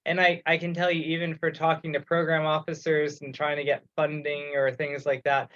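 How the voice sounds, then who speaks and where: A man's voice: on the high side, completely flat delivery, fairly quick, a bit bright. A man speaking casually in a meeting as an organizer, thinking aloud with some hesitation. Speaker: project organizer on a committee call. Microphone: remote teleconference call. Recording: dull, lo-fi conference call audio.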